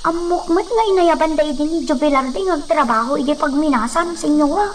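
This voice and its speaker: A woman plays a gossipy maidservant with an extremely high voice and an Ilocano accent. She chatters deferentially in radio drama style, with faint insect noise behind her. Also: a little fast, natural voice. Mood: gossiping, concerned. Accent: Filipino, Ilocano.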